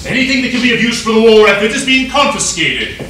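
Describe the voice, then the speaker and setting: A man's voice: very high voice, sing-song, fast talker, over a noisy crowd. An actor playing an older, smug and self-serving showman professor in a stage melodrama, pompous and teasing. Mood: dry. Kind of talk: broad stage melodrama acting. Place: theater stage, recorded from a distance. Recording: echoey stage recording from far off, music.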